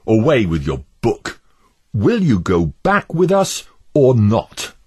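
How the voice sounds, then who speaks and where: A man voicing a stubborn, scornful male neighbour who blusters and mocks, in a husky voice. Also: fairly low pitch, wildly swooping pitch, slow, darkish tone, slight background noise, pitched above the performer's own voice, heavily acted.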